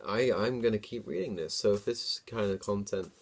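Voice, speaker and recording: A man's voice: fairly low pitch, moderate pace, vocal fry. A man hosting a dramatic story reading, mixed with amused, informal comic commentary. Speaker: host reading his own childhood story.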